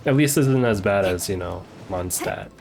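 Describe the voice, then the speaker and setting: A man's voice: lowish voice, animated, moderate pace, vocal fry, darkish tone. A young man streaming a game with casual chat, often repeating short phrases as he plays. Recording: game music behind voice. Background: video game music and effects.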